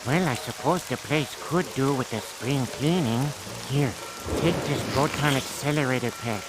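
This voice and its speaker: A man's voice: mid-range voice, normal speed, close to an everyday voice, poor audio. A man voicing a gloomy, deferential, ponderous manservant of a haunted house in a cartoon game. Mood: formal. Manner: ponderous, formal. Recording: loud music behind the voice.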